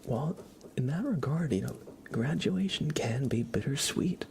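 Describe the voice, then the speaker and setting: A young adult man speaking softly and gently as an ASMR livestreamer, half whispering as he chats casually to viewers. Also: medium pitch, lively intonation, measured pace, gravelly, slight background noise. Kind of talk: soft-spoken ASMR, casual chat with viewers.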